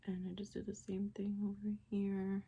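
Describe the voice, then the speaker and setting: A young woman with a raspy, congested voice from a sinus infection, chatting casually through a quick makeup tutorial with lots of fillers. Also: mid-range voice, monotone, mellow, muffled recording. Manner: chatty, rambling with many fillers. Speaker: beauty vlogger.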